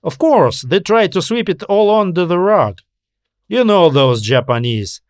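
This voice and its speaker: A shrewd male manager , voiced by a male narrator, thinks aloud in a science fiction audiobook. He sounds probing and thoughtful, with a raised pitch. The recording is close and dry. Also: big pitch swings, measured pace, no echo. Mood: pondering, knowing. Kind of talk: audiobook character dialogue.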